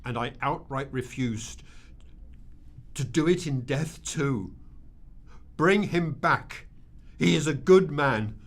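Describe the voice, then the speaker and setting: A man's voice: on the high side, takes it slowly, long pauses. A man in a radio drama playing a guilt-ridden wealthy man, earnest, emphatic and pleading. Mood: anguished, defiant.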